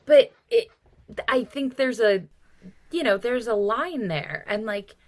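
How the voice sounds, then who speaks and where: A woman's voice: on the high side, takes it slowly, a little mellow. A woman co-hosting a podcast, discussing books casually and with opinions, talking in an emphatic, exasperated way and swearing freely. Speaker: podcast co-host.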